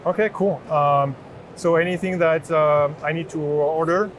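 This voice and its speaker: A man in his thirties with a French accent giving an unscripted product demo in a halting way. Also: fairly high pitch, fairly even intonation. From France. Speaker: chief product officer demonstrating a product. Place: open booth in large indoor exhibition hall. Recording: noisy trade show hall background.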